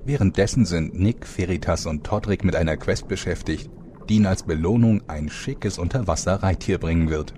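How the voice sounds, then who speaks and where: A man's voice: low-pitched, moderate pace, background noise, natural voice. A man playing the storyteller, reading scripted narration for a comedic fantasy audio drama. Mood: solemn. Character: male storyteller of a fantasy soap parody.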